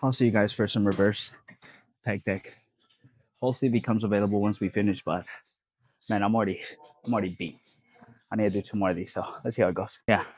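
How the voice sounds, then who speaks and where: Stuffy young guy vlogging at the gym, lowish voice, dry close sound, rambly and chill. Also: fairly even intonation, fast talker, long pauses, a little mellow, husky, no room sound. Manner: laid-back, rambling, lots of fillers. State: congested, sniffling. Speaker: fitness vlogger. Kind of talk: casual gym vlog talk.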